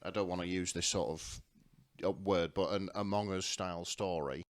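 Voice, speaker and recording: A man's voice: low-pitched, steady tone, normal speed. A man co-hosting a podcast in casual conversation, sounding a little hungover, recorded indoors on a podcast microphone. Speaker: podcast co-host. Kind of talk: casual chat. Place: living room table, indoors.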